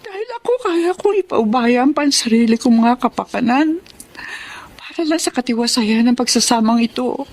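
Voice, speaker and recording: An actress playing a devoted, self-sacrificing wife in a radio drama, speaking with solemn, heartfelt delivery. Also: female voice, fairly high pitch, expressive, moderate pace, darkish tone. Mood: pleading, resolute. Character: devoted, self-sacrificing wife telling her life story. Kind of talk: radio drama acting and narration.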